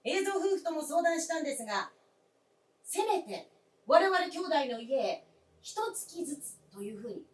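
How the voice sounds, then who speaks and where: A woman's voice: high voice, very animated, normal speed, recorded from a distance. A woman voicing an earnest, persistent adult son in a radio drama, with polite, formal insistence. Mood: pleading, insistent. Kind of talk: live radio drama acting. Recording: roomy stage recording from afar.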